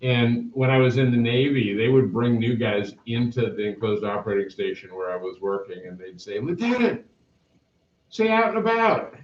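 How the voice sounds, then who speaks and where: A man's voice: mid-range voice, normal speed, a little hiss or hum. A middle-aged man from Canada, a math teacher chatting casually and rambling to his class in a classroom, recorded by a camera across the room. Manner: rambling, self-deprecating, chatty. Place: classroom with whiteboard. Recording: roomy, dull classroom recording.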